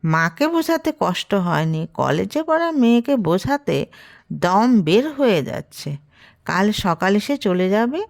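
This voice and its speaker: Slow-paced woman narrating a story. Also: medium pitch, a little mellow. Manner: reads character dialogue within the narration. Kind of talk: scripted narration of a Bengali romantic story.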